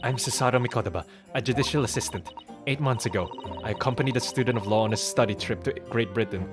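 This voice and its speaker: A man voicing an earnest, devoted young woman, a judicial assistant who speaks in a soft, formal, hesitant way. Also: medium pitch, fast, close to an everyday voice. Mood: wistful, resolved. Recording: music behind the voice.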